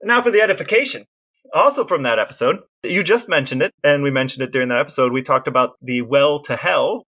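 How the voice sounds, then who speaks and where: A man's voice: medium pitch, animated, fast talker, bright, forceful tone. A man co-hosting a podcast, explaining things precisely and playfully in conversational banter. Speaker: podcast co-host.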